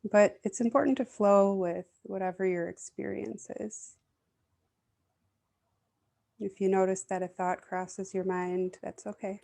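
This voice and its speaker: A woman leading a guided meditation in a gentle, soothing, unhurried way, leaving long pauses. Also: mid-range voice, steady tone, vocal fry.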